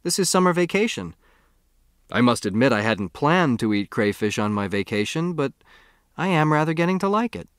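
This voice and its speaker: A man's voice: mid-range voice, sing-song, normal speed, smooth, no room sound, studio quality, pitched above the performer's own voice, natural voice. A man voicing a good-humoured, easygoing English teacher in an audiobook story.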